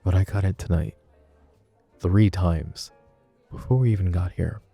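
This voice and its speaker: Deep, gravelly crime-boss husband, acted by a man. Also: a little slow, mellow, soft background music, heavily acted. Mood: guarded, tender. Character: possessive, protective young crime-family husband, dry and teasing. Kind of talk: intimate boyfriend roleplay ASMR.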